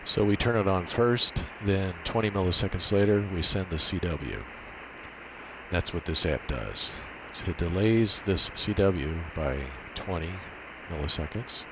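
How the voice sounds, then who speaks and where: A man with a deep, low-pitched voice talks through a technical demonstration. He explains methodically, one step at a time, without a script. A clear layer of hissy noise sits behind his voice. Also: measured pace. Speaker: amateur radio demonstrator. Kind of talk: unscripted technical walkthrough. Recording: steady hiss and noise behind voice.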